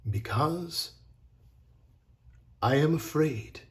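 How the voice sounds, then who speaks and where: Man acting out weary male master, storybook, slow, hesitant, grave, fearful. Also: on the high side, lively intonation, pitched above the performer's own voice. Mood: grave, afraid.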